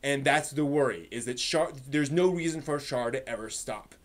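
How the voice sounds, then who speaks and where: A man with a slightly high voice hosting a casual anime review, explaining in a halting way with repeated words and emphatic asides. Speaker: host reviewing anime on a live stream.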